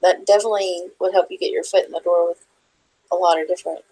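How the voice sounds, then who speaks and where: A woman's voice: lowish voice, steady tone, fast, warm tone, rough recording. A woman in a casual live chat, chiming in with short, supportive replies.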